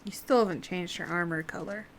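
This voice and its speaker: A woman's voice: lowish voice, brisk. A woman streamer giving casual, wry and playful live commentary on a game. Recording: faintly noisy live stream.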